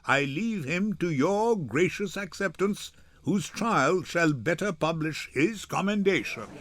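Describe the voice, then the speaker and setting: Older man playing stately, measured duke in court. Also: sits high, lively intonation, a bit bright, dry recording. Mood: formal, gracious. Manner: formal, authoritative, measured. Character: stately male duke presiding over a court. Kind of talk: dramatic Shakespeare reading.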